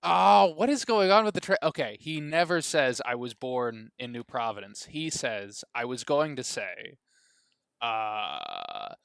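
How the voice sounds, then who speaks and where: A man's voice: mid-range voice, very animated, unhurried, gravelly, fairly bright tone, clear, resonant tone, no room sound. A man with a mid-range voice co-hosts a podcast and chats casually. He rambles, jokes and breaks off his own sentences. The recording is dry and close on the mic. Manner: rambling, self-interrupting, joking. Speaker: podcast co-host.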